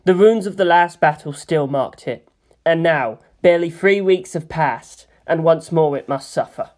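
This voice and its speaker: A woman's voice: low-pitched, normal speed, a little mellow. A woman narrating fan fiction as a dramatic audio story reading.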